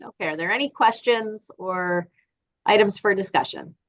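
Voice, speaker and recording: A woman chairs a board meeting, moving briskly through motions and votes in set procedural phrases. Her voice sits mid-range, and the recording sounds dull and lo-fi. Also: mid-range voice.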